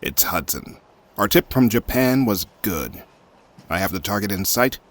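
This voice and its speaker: A man playing a cold, businesslike covert agent in an audio drama, with a gravelly voice. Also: on the low side, lively intonation, unhurried. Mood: cold, clipped.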